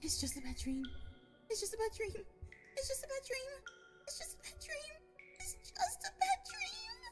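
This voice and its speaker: A woman's voice: very high-pitched, huge pitch swings, normal speed, over music, full character voice. A woman voicing a frightened but stubborn young mare heroine, trembling and pleading. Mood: terrified.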